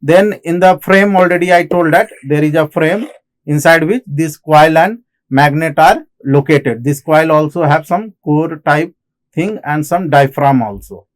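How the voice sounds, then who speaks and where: A man with an Indian accent giving a technical lecture as an instructor, explaining in halting phrases and often repeating himself. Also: on the high side. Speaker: engineering lecturer. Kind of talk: classroom lecture on technical material.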